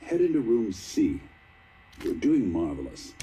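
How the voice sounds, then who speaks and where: A man voicing an upbeat, fussing scientist who runs tests in a video game, with an encouraging, halting, politely apologetic manner. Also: lowish voice, huge pitch swings, background noise. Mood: halting, coaxing. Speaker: doctor, test administrator.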